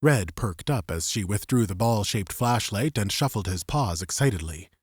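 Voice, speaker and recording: Male fiction narrator: deep-leaning, dry close recording, measured suspenseful storytelling for an audiobook. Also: animated, breathy edge, dry recording.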